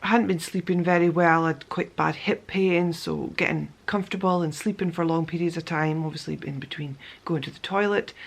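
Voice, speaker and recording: A young woman with a croaky voice, casually telling her story to the camera in a chatty way, with many ums and self-corrections. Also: sits low. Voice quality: gravelly. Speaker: vlogger.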